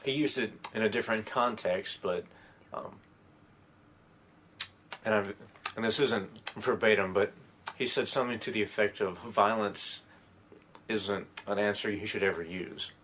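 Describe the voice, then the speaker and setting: A man's voice: fairly low pitch, measured pace, long pauses, fairly bright tone, slight background noise. A man co-hosting a podcast, speaking hesitantly and leaning on lots of "uh" and "um". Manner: hesitant, many "uh" and "um" fillers. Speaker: podcast co-host. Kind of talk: casual podcast chat.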